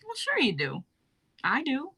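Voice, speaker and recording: Young actress doing a bubbly 1950s wife in her twenties, breezy n playful, bit high voiced, stage reading. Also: huge pitch swings, measured pace, sharp tone, heavily acted. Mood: assured, teasing. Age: young adult. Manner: breezy, playful, old-fashioned turns of phrase. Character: bubbly, teasing 23-year-old 1950s wife, warm and assured. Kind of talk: dramatic reading of a script.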